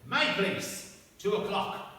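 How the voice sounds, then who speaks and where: Hammy villain king played by a man: high, lively voice, bright, slow and gloating, echoey hall from afar. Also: exaggerated voice. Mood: scheming. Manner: hammy, conspiratorial, gleeful. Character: scheming, gleeful villain king Claudius. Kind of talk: amateur comic radio play acting. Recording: echoey hall, distant mic.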